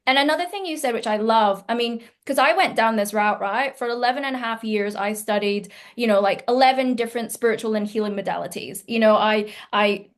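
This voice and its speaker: A woman's voice: a little high, brisk, few pauses. A woman in her thirties hosting an interview on a video call, talking in an effusive, emphatic, conversational way. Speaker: podcast host, healing teacher. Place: room at home, indoors, video call.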